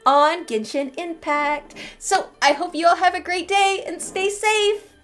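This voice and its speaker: Female game streamer, high voice, playful, bubbly, casual chat, faint music behind. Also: unhurried, a bit bright. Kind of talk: casual livestream gaming chat.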